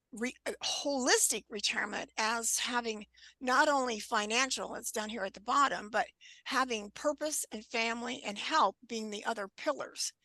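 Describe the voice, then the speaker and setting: A woman in her seventies giving an informal presentation over a video call, unhurried and halting, with a scratchy voice and frequent throat clearing. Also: fairly high pitch, sharp tone, no echo. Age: 70s. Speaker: guest presenter on wellness.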